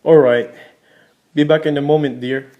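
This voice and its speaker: A man acting a devoted, gently teasing husband in a radio play, affectionate and reassuring. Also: a little high, steady tone, moderate pace, phone-quality sound, very noisy, natural voice. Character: devoted, teasing, dismissive husband, Tom Moonlight. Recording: radio play with loud music behind.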